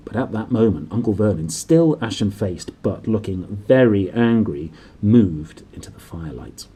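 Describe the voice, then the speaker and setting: A man narrates a storybook with lots of expression. His voice is mellow and husky, mid-pitched, and moves up and down in a lively way. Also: normal speed. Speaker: audiobook reader.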